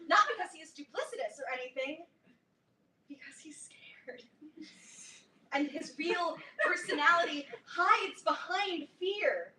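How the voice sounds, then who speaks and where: A woman playing an imperious, high-voiced teenage club queen. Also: unhurried, pushed and bright, exaggerated voice. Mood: defensive, earnest. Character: domineering, self-important teenage girl, founder of fanfiction club. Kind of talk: staged play reading before an audience. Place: live reading in a roomy indoor space. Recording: distant echoey room, clear background noise.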